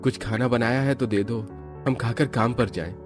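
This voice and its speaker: A man's voice: medium pitch, normal speed, natural voice. Man acting mild-mannered village eldest son, music behind. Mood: gentle, resigned. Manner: soft, reasoning. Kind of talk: Hindi cartoon story acting.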